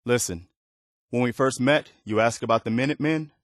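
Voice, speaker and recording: A man's voice: medium pitch, a little fast, dry recording, clean studio sound, close to an everyday voice. A man playing an earnest, weary militia leader in a video game, speaking in a sincere, plain-spoken, conversational way. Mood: sincere, grateful. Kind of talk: video game dialogue acting.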